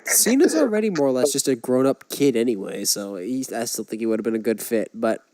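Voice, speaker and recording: A man's voice: mid-range voice, expressive, fairly quick, a little mellow, slight background noise. A man co-hosting a podcast, talking casually in a conversational way. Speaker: podcast co-host. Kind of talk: casual chat about movies and games.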